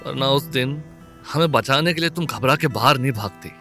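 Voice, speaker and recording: A man doing an earnest young village lover with a bright, forceful voice, earnest and rustic in speech. His lines sit over a soft music bed, as in a radio drama. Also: mid-range voice, fairly quick. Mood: hurt. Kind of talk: Hindi audio drama acting.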